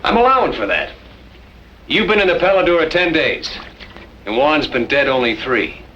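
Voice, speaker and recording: A man's voice: on the high side, very animated, moderate pace, sharp tone. An actor playing a terse, suspicious man of the West who gives orders dryly in a radio western. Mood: accusing. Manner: terse, commanding, dry. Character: stern, suspicious western cattleman taking charge. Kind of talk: old time radio western acting. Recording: muffled, noisy old radio recording.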